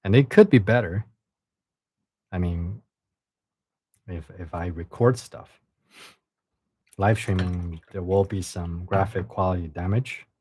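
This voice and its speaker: Give me a man chatting on a livestream and reading aloud, halting and repeating himself. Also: sits low, warm tone, husky, no room sound. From China. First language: Chinese. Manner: halting, repeats words and restarts. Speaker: livestream host and reader. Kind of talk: casual livestream chat and reading a novel aloud.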